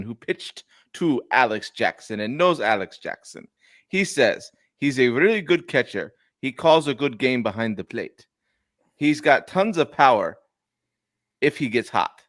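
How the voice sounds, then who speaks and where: A man's voice: mid-range voice, a bit bright, no echo, clean studio sound. A man co-hosting a casual sports podcast, talking in a put-on Jamaican accent from a lost bet. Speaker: podcast co-host. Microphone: remote streaming mic.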